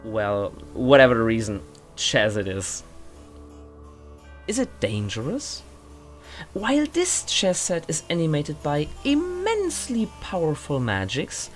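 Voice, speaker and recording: A woman's voice: low-pitched, heavily acted. A woman playing a fussy, courteous steward of a magical mansion, giving a guided tour in a pompous, formal, theatrical audio drama manner. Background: music.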